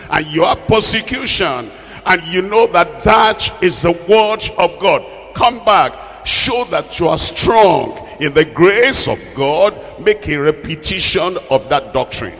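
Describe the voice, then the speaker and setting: A man's voice: high-pitched, creaky, fairly bright tone. A man preaching a sermon as a Bible teacher, exhorting and repeating phrases for emphasis. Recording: long hall reverb.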